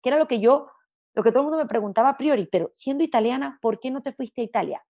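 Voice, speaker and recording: Expressive high-voiced woman, slightly creaky. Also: rapid-fire. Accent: Spanish of mixed Latin American sound, hard to place. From Venezuela. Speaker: interview guest, gastronomy journalist. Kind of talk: reflective conversational interview.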